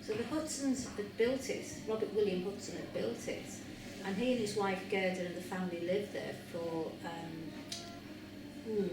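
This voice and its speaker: A woman's voice: medium pitch, a little slow. Female tour guide, hesitant explanatory talk, very echoey large room, far from the mic. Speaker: historic house tour guide. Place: large room inside an old house. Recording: echoey room, distant mic, background noise.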